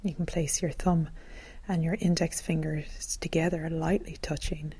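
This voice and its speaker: Low, creaky, soft-voiced woman guiding meditation. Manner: gentle, calm, soothing, unhurried phrasing. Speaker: meditation teacher. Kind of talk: guided meditation narration.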